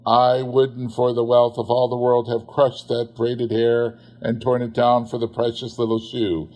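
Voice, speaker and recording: A man narrating a literary story expressively, in a measured, theatrical manner. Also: mid-range voice, monotone, a little mellow, low-quality recording. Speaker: audiobook narrator.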